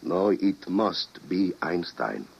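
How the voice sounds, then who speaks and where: Persuasive, grave official for vintage radio drama; husky, lowish, emphatic and oratorical. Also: male voice, very animated, slow pace, heavily acted. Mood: impassioned, grave. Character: grave, persuasive male official arguing for a terrible mission. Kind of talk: mid-century radio drama acting. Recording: muffled old radio broadcast recording.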